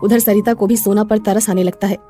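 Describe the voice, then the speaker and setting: A woman's voice: medium pitch, steady tone, a little fast, mellow, soft background music. A woman narrating a scripted moral story as a storyteller.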